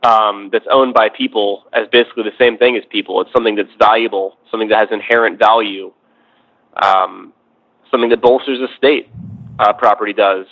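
A man giving conversational political commentary as a discussion guest, measured and reflective, building his points phrase by phrase. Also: lowish voice, steady tone. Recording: tinny phone-like line.

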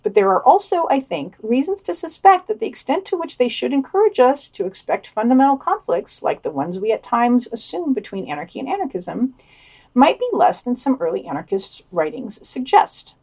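A woman's voice: on the high side, sing-song, normal speed, darkish tone, breathy edge. A woman co-hosting a podcast, reading out a scripted news digest with commentary.

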